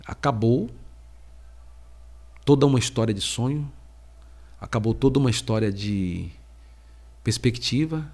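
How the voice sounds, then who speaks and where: A middle-aged man speaks slowly, in halting phrases with long pauses. He has a cold, and his voice sits at an ordinary pitch for a man. He is a preacher telling his own story of faith on a podcast. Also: darkish tone. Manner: slow, halting, heavy pauses. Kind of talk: personal faith testimony.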